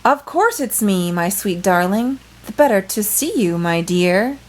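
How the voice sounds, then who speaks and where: A woman's voice: medium pitch, animated, unhurried, close to an everyday voice. A woman voicing a sly, hungry male wolf who pretends to be a sweet grandmother in a storybook, coaxing and falsely sweet.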